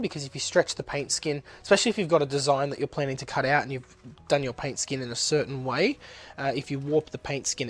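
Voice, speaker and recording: A man with a blocked-up nose, narrating a casual craft tutorial as he works, chatty and easygoing, with plenty of ums and uhs. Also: medium pitch. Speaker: resin artist demonstrating a project.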